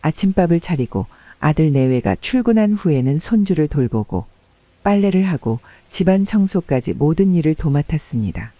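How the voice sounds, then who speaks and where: Soft-toned male narrator, higher-pitched voice. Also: very animated, moderate pace, no echo, highs cut off. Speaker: story narrator. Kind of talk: scripted audiobook narration of a family story.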